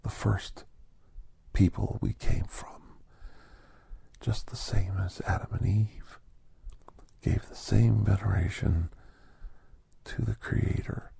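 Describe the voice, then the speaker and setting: A man voicing a gentle, reflective doctor who tells an ASMR holiday story in a breathy, hushed whisper with deliberate pauses. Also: on the low side, steady tone, no echo, full character voice. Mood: hushed, reverent. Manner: hushed, deliberate, with long pauses between short phrases. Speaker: narrator of an ASMR story podcast.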